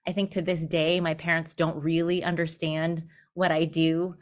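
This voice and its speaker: A woman's voice: deep voice, level tone, moderate pace. A middle-aged woman from Southern California, a law firm leader, telling her life story candidly with light humor. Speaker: guest, law firm managing partner. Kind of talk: personal storytelling in interview.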